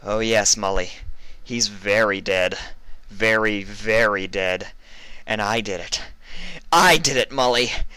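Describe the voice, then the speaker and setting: A man's voice: fairly low pitch, takes it slowly, bright, forceful tone, clean tone, dry recording, pitched above the performer's own voice. A man voicing a vengeful, sadistic female pony gunfighter in a story reading, with a menacing, spitting delivery. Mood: menacing, gloating. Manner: spits and barks words, menacing.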